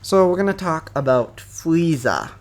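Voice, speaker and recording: Slow, hesitant young man rambling. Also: fairly high pitch, expressive. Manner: hesitant, many ums and self-corrections. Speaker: anime commentary youtuber. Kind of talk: casual rambling monologue.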